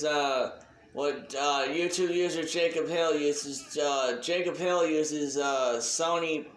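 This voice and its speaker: A man giving a casual, unscripted shout-out announcement as a hobby video creator, talking in a halting, deliberate way. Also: on the high side, reverberant, slight background noise.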